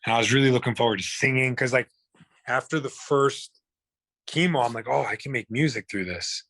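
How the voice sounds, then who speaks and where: A man's voice: medium pitch, brisk, fairly bright tone, no room sound. A man, telling his own illness story in a blunt, profane, rambling way. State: hoarse. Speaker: guest. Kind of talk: candid personal storytelling.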